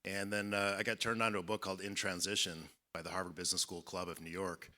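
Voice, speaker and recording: A man in his fifties speaking as a panelist at a conference, measured and reflective, with many fillers. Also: low voice, flat delivery, bright, forceful tone. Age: 50s. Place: conference hall.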